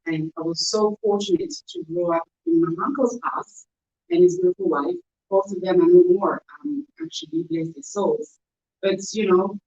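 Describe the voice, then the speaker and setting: A woman from DR Congo tells her own story as an interview guest. Her voice is mid-pitched and her talk is conversational, dotted with casual filler phrases. The recording is dull and echoey, as if she is away from the microphone. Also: a little mellow. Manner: frequent "you know" and "whatnot". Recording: echoey, distant, dull poor sound.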